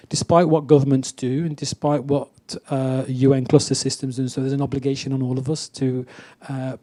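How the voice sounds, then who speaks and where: A man's voice: mid-range voice, mellow. A man making an unscripted statement from the audience at a panel discussion. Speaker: audience member.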